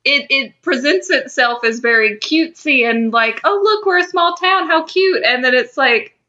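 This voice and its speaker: A woman's voice: sits high, lively intonation, normal speed, pushed and bright, lo-fi sound. A woman co-hosting a podcast, discussing a TV show in an informal, emphatic way with some swearing. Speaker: podcast co-host.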